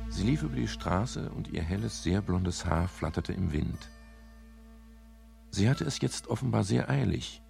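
An actor playing a middle-aged, world-weary private detective in a German radio crime drama, narrating and talking in a dry, wry, musing way. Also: male voice, deep voice, animated, measured pace, gravelly, over music, exaggerated voice.

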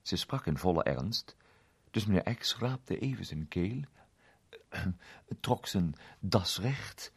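A man with a low-pitched voice narrates a scripted story. His delivery is expressive, with lively, wide-ranging intonation throughout. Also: takes it slowly, creaky, pauses often, breathy edge.

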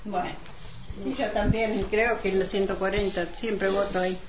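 A woman with an Argentine accent playing a neighbourly housewife hosting friends in an amateur radio drama, speaking haltingly and conversationally. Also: medium pitch, level tone, close to an everyday voice. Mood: neighbourly, chatty. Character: Selmira, neighbourly housewife hosting women for mate. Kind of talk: amateur community radio drama, semi-improvised chat. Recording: dull lo-fi, clearly noisy.

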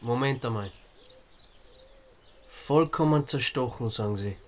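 An actor playing a laconic, laid-back amateur sleuth in a radio drama, terse and hesitant. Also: male voice, medium pitch, a little slow, a little hiss or hum, close to an everyday voice. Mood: puzzled, pondering.

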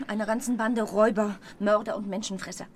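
A woman's voice: mid-range voice, steady tone, fast talker, close to an everyday voice. A woman playing a fussy, indignant housekeeper and robber's wife with an emphatic manner in a radio play.

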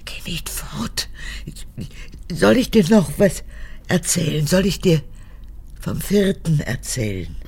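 An actress in a radio drama playing a manipulative, childish old woman in her eighties, an unrepentant former camp guard, with a husky, gravelly voice. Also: fairly low pitch, very animated, slow, pauses often, darkish tone, heavily acted. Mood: pleading, wheedling. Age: 80s. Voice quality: husky, gravelly, often breaking into whispers. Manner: capricious, swinging between childlike pleading and cold recounting.

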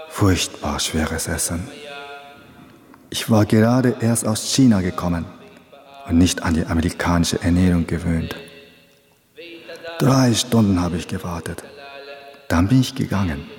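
Mourning academic assistant, low voice, earnest, reflective, short phrases, hall reverb, radio play. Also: male voice, measured pace, pauses often, a little mellow, soft background music. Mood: recollecting. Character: earnest Chinese male former assistant, troubled, mourning. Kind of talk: radio drama acting.

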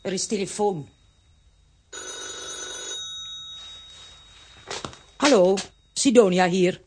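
A woman playing a brisk, bossy, excitable aunt in a children's audio drama, speaking in an exclamatory manner. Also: mid-range voice, lightly acted. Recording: noisy, clicky old audio recording.

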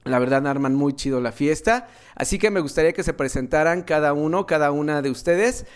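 A man's voice: a little high, a little fast, clear, resonant tone. A man with a Mexican accent hosting a casual music radio show in a friendly, slangy, upbeat way. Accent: Mexican Spanish. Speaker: radio host.

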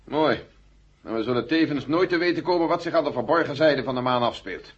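A male actor plays a sardonic, sceptical general in a vintage radio drama. The voice is very husky and mid-pitched, on a dull old recording. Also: a little fast, close to an everyday voice. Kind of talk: 1970 Dutch radio play acting.

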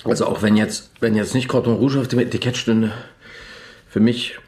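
A man's voice: fairly low pitch, steady tone, normal speed, a little mellow, lightly acted. A man acting in a German radio drama as a self-important wine connoisseur who savors his words pompously. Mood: thoughtful.

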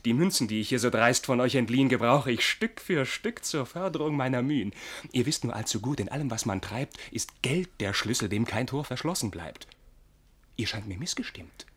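An actor playing a brash, lovestruck young gallant in a radio verse comedy, declaiming breezily in a husky voice. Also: male voice, medium pitch, lively intonation, normal speed, a bit bright. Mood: boastful, eager.

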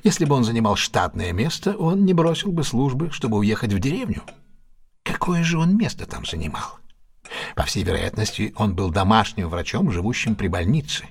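Male detective, audio drama: low, rough-edged, very expressive. Keen, didactic, faintly superior. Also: heavily acted. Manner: didactic, theatrical, reasoning step by step. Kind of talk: Russian audio drama acting.

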